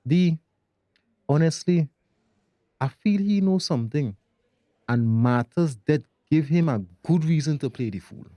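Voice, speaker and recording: Agitated Tobagonian father, radio drama, repeats words, dry close sound, lively intonation. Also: a little high, unhurried, long pauses, dry recording. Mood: uneasy, agitated. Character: anxious, excitable father, an officer of the law. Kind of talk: radio drama acting in dialect.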